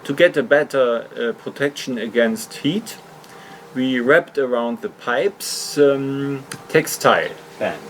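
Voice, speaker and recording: A man's voice: mid-range voice, expressive, slow pace, a little hiss or hum. A man narrating an explanatory hobby vlog in hesitant, slightly awkward non-native English phrasing. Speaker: video host, hobby motorcycle customizer.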